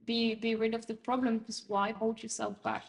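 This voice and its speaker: A woman's voice: on the high side, completely flat delivery, moderate pace, vocal fry. A young woman from Norway, Norwegian by first language, speaking fluent English as an interview guest, earnest and emphatic with many "like"s. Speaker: guest sharing her experience. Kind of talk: casual interview chat.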